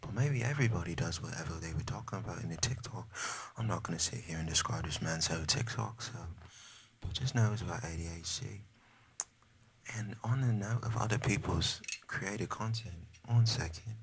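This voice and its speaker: A man's voice: low voice, a little fast, a little hiss or hum. A young man whispering a rambling ASMR chat to his viewers in a put-on British accent, often losing his train of thought. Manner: soft whispering, rambling and easily sidetracked. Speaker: ASMR creator.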